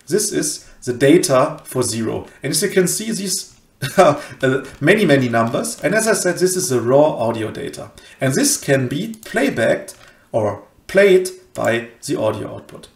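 A man hosts an informal screencast lesson from his computer. His mid-pitched voice has a gravelly fry to it, and the room adds clear echo. He feels his way through the explanation one step at a time. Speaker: host of a cryptography tutorial channel. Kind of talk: casual software screencast tutorial. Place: small room indoors at a computer. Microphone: headset. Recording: roomy home recording.

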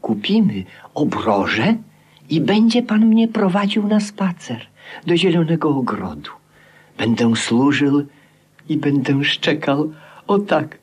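A man's voice: a little high, sing-song, slow pace, breathy edge, exaggerated voice. A man acting a fawning, pleading author in a 1950s radio play, theatrical and imploring. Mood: eager, submissive. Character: grovelling, pleading author besotted with his own creation.

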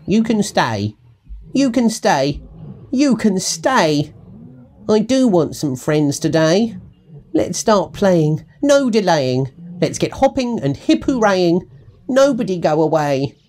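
A man's voice: sits high, sing-song, slow, darkish tone, voice pushed up, exaggerated voice. A man voicing a bossy, grumpy grey female bird in an emphatic, sing-song storybook rhyme. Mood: cheerful, welcoming.

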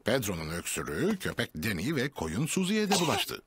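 A man's voice: on the low side, wildly swooping pitch, a little fast, noisy background. A man acting as a friendly storyteller, reading scripted narration for a preschool cartoon. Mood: storytelling. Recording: loud music under the voice.

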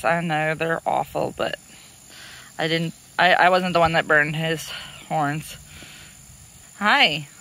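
A woman's voice: sits low, pushed and bright. Chatty woman filming her farm animals. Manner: chatty, laughs often, talks sweetly to animals. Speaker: homestead vlogger. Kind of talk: casual narration while doing farm chores. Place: outdoor farm pens and pasture.

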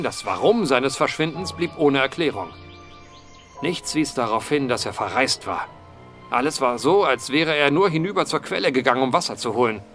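A man's voice: medium pitch, a bit bright. An actor playing an earnest male investigator in a period mystery radio play, speaking formally and eloquently like a storyteller.

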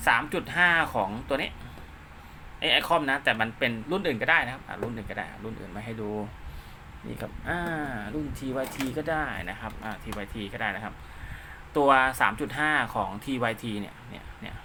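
Male DIY tutorial host: bright mid-pitched voice, informal and repetitive, throws in spoken sound effects, slightly noisy recording. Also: lively intonation, fairly quick. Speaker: host of a DIY radio repair channel. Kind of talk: informal hands-on tutorial, talking while drawing diagrams.

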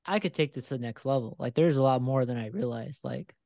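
A man in his thirties hosting a podcast interview, talking in a relaxed, candid way into a close desk microphone. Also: mid-range voice, fast, vocal fry, no room sound. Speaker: podcast host, photographer. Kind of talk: casual interview. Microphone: headphones and a desk microphone close up.

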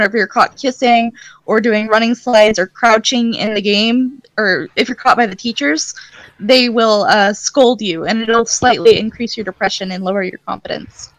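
A woman's voice: a little high, normal speed, a bit bright, dry recording, low-quality recording. A woman talking casually about games as a guest in a group voice chat.

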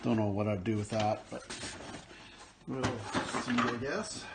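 A man talks slowly and loosely over a pile of old finds, thinking aloud and trailing off. His voice sits in a middle register. The home recording carries a clear layer of background noise. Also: medium pitch. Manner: relaxed, rambling, thinking aloud with fillers. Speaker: picker and vlogger. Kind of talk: casual unboxing commentary. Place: indoors at a table, off camera.

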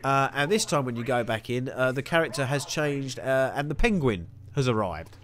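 A man's voice: medium pitch, animated, moderate pace, a bit bright, a little hiss or hum. A young man giving a rambling, jokey walkthrough of a video game with self-mocking asides. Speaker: gaming video host. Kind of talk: casual gameplay walkthrough commentary.

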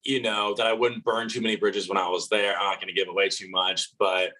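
A man's voice: lowish voice, little pitch movement, fairly quick, bright, forceful tone, rough recording. A man in his twenties, a reality show contestant, answering interview questions over a video call, earnest with many restarts and fillers. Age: 20s. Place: apartment living room.

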